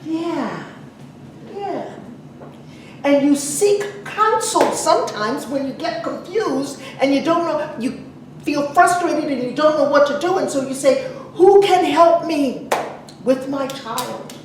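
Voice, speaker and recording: A woman preaching a sermon to a congregation in a conversational way, putting questions to her listeners and pausing for emphasis. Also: sits high, expressive. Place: large echoey church room. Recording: very echoey, distant mic, some noise.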